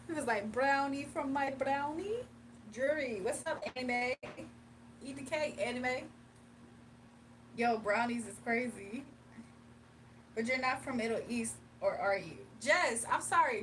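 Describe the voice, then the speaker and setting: A young woman with a fairly high voice chats loosely with her live viewers and laughs easily. She sits a little away from the mic, and faint room noise runs under her voice. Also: a bit bright, distant mic. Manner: chatty, laughs often, reads chat aloud. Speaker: livestream tarot reader. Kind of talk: casual live chat and tarot reading.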